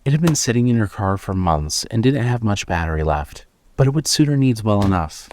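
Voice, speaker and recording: Casting: male narrator for scripted horror audio fiction, somewhat low pitch, storytelling delivery. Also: lively intonation, brisk, a little mellow, slight background noise.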